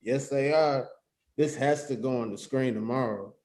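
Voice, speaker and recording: A man's voice: medium pitch, normal speed, darkish tone, close to an everyday voice. A man playing a laid-back, joking young friend with casual, slangy delivery in a screenplay table read.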